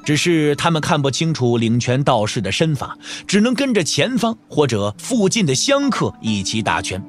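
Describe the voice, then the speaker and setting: Man, expressive story narrator, lively intonation, scripted audio drama, faint music behind. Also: fairly high pitch, normal speed.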